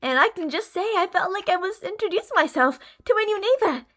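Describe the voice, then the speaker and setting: A woman's voice: very high-pitched, lively intonation, fairly quick, a bit bright. A woman playing an obsessive, delusional young female stalker and former bully in an ASMR roleplay, clingy and emotionally volatile. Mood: eerily calm. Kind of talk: ASMR roleplay, dramatic monologue to listener.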